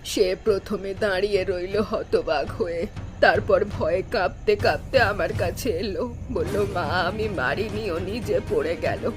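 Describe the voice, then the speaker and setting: A woman voicing a sorrowful ageing mother-in-law in a cartoon drama, speaking in a slow, weary, heavy manner. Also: mid-range voice, faint music behind, lightly acted. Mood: guilt-laden. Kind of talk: Bengali cartoon melodrama voice acting.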